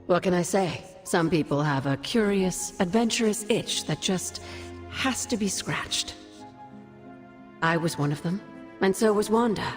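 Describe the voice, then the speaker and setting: An actress playing a wry older sorceress mentor in a game cutscene, measured and knowing, with fond teasing. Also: female voice, on the low side, animated, big-room sound, soft background music. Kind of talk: game cutscene dialogue, expository storytelling.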